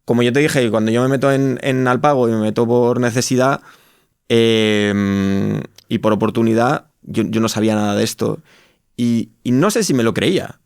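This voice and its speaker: A man's voice: medium pitch, normal speed, creaky, darkish tone, smooth, no echo, studio quality. A man with an accent from Spain, telling his own story reflectively as an interview guest, often rephrasing himself. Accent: Spanish from Spain. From Spain (Madrid). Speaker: interview guest, startup co-founder. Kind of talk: conversational personal storytelling in an interview.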